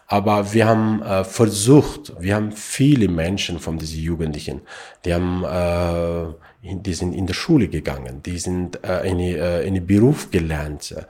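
A man from Afghanistan, answering interview questions in halting, broken German with many fillers. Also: sits low, a little mellow, breathy edge. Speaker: refugee youth helper.

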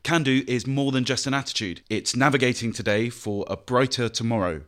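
A man reading a sponsor advert in a smooth, scripted delivery. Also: medium pitch, fairly quick, fairly bright tone. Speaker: sponsor announcer. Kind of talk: read advert.

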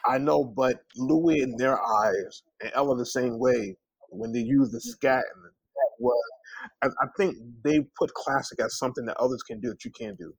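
A man's voice: medium pitch, moderate pace, vocal fry, slightly rough, no room sound, poor audio. A man being interviewed as a singer, telling anecdotes casually and acting out the dialogue with plenty of conversational asides. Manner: animated anecdotes with reenacted dialogue, peppered with "you know" and "man".

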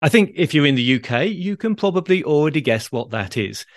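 A man's voice: on the high side, expressive, a little fast, no room sound, studio quality. A man hosting a conversational podcast interview. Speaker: podcast host.

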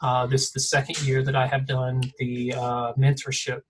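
A man's voice: mid-range voice, completely flat delivery, moderate pace, slight background noise. A man in a casual podcast chat, telling a rambling story full of fillers and restarts. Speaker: design mentor guest.

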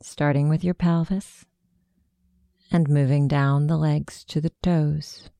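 Woman, guided meditation, hushed soothing delivery, even intonation, very mellow, close dry sound. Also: low voice, no room sound. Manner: slow, hushed, soothing instruction.

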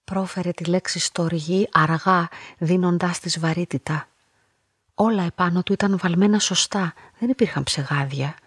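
A woman's voice: fairly low pitch, slightly rough, no echo, studio quality. An actress playing a lonely, observant young woman who narrates her own story reflectively in a radio play. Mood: reflective, uneasy. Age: young adult. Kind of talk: first-person literary radio drama narration.

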